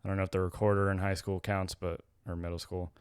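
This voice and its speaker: A man's voice: low voice, flat delivery, fast talker, a little mellow, clean tone, dry recording, clean studio sound. A young adult man co-hosting a casual podcast, rambling and correcting himself as he talks. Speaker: podcast co-host.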